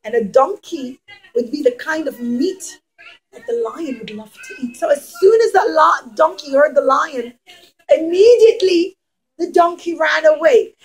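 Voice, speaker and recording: A woman with a high voice tells a story to a group of small children. She works as a teacher-storyteller, stressing key words, repeating phrases and asking the children questions as she goes. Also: animated, a little slow, low-quality recording. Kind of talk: interactive puppet-show storytelling for young children.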